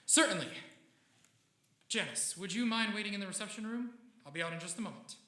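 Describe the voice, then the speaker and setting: An actor playing an unravelling, paranoid scientist in an old-time radio drama, speaking haltingly and repeating himself. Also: male voice, high-pitched, expressive. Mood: brisk, evasive. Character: unravelling paranoid scientist doctor under a brain's control. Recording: echoey stage, loud piano music behind.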